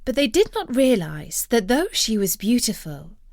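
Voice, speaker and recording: A woman narrating a scripted story in an expressive, measured storytelling manner. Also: fairly high pitch, dry recording, clean studio sound.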